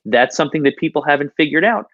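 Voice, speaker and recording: A man speaking as an interview guest on a podcast, explaining things in a measured conversational way over slightly lo-fi audio. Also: on the high side, lively intonation, no room sound. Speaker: podcast guest, podcast industry professional.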